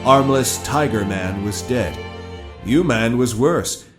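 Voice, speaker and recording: A man's voice: mid-range voice, expressive, slow, far from the mic. A man narrating a war adventure audio drama in a dramatic, scripted storytelling style. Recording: music under the voice.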